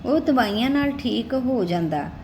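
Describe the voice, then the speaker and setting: A woman's voice: a little high, lively intonation, unhurried, dark tone. A woman narrating a scripted story as an audiobook-style storyteller. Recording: clearly noisy recording with background ambience.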